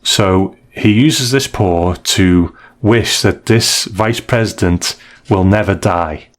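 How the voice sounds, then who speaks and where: Man, low pitch, casual discussion, hesitant and thoughtful, podcast co-host. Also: slow pace. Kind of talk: casual discussion and story review.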